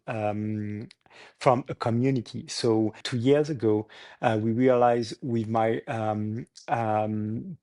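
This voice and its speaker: Halting man. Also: mid-range voice, a little mellow. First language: French. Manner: halting, searching for English words, chuckles often. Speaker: startup founder guest. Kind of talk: casual interview conversation.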